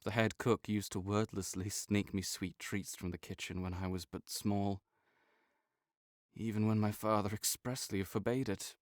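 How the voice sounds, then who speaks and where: A man playing a sickly, proud and melancholy nobleman in an intimate audio roleplay, speaking formally with measured, old-fashioned phrasing. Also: lowish voice, steady tone, dry recording, studio quality, close to an everyday voice. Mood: somber, reminiscing.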